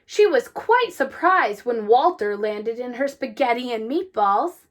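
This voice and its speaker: A woman reading a children's story aloud with deliberate, expressive phrasing. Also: fairly high pitch. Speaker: storybook reader. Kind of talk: children's read-aloud, scripted narration.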